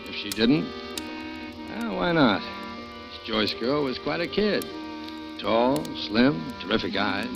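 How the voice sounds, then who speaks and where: An actor playing a jealous husband who narrates his plans in the first person, in a 1940s radio drama. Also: mid-range voice. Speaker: narrator and lead. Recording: dull old radio broadcast with music.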